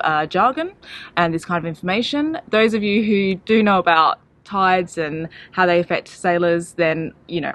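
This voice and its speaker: A woman's voice: on the low side, fairly bright tone. A woman in her thirties, a sailing vlogger, talking casually and plainly to her viewers outdoors. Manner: friendly, explains plainly to camera. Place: outdoors by the sea.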